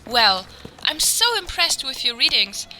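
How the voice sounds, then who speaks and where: A woman's voice: on the high side, lively intonation, a little slow, background noise. A woman playing a wide-eyed, earnest and easily impressed astrology customer in a comedy podcast sketch. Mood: amazed.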